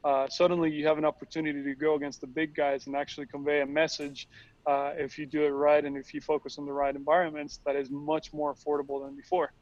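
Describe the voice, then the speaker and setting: Young man, from Costa Rica, halting, repeats words, fairly high, flat pitch, dull sound. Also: no echo. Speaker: tequila company founder. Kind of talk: casual interview answers. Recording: dry, slightly dull sound.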